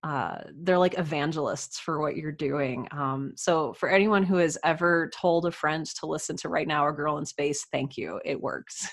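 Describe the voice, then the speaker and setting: A woman talking casually as a writer and podcast guest, animated and chatty as she tells her own stories. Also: low-pitched, normal speed. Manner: animated, chatty, self-quoting storytelling. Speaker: podcast guest, writer and audio drama creator. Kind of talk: casual interview chat. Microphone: USB desktop microphone.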